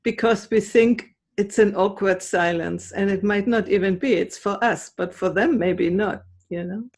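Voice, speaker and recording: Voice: mid-range voice, moderate pace. A participant in a group conversation asking curious questions in an easygoing way. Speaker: discussion participant.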